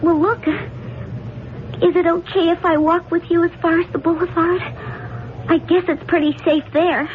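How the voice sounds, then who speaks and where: A woman's voice: very high voice, flat delivery, moderate pace. A woman playing a nervous, chatty working-class young waitress in a 1970s radio drama, breathless and stammering in colloquial speech. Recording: muffled, hissy old radio recording.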